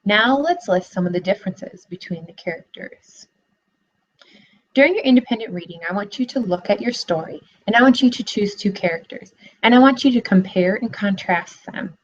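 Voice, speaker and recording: A woman's voice: medium pitch, lively intonation, normal speed, dull audio. A young woman student teacher giving a read-aloud lesson for children, asking encouraging questions, recorded on a laptop webcam in a living room.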